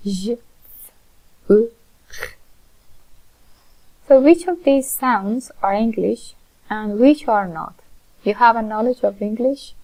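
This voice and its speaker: Female lecturer, Persian accent, gravelly fry, unhurried explanatory delivery. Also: mid-range voice, darkish tone, rough recording. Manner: measured, explanatory, frequent uh fillers. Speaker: linguistics lecturer. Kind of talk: recorded online lecture.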